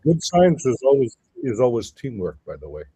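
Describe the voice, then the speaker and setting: A man with a very dark, husky voice joins a panel as an academic guest. He chimes in with short, agreeable remarks, and his pitch moves a lot. The sound is dry and close. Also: big pitch swings, normal speed, no echo. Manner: brief, agreeable interjections. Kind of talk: casual panel discussion.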